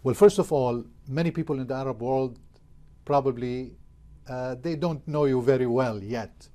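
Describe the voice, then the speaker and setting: A man's voice: mid-range voice, normal speed, dark tone. A man hosting a formal TV interview, introducing his guest and asking long, hesitant questions with many fillers. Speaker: TV interview host.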